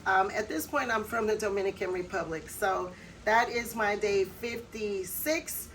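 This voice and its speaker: American woman, middle-aged, chatty vlog talk, medium pitch, faint hiss behind. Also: fairly bright tone, poor audio. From United States. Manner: chatty, personal, with quick laughs. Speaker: vlogger. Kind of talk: casual talk to camera.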